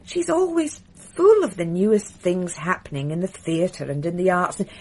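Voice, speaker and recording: A refined titled lady in a radio drama, soft-spoken and confiding. Her voice sits low for a woman and has a husky, breathy edge. Her pitch moves in a lively way as she talks. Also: moderate pace. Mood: wistful.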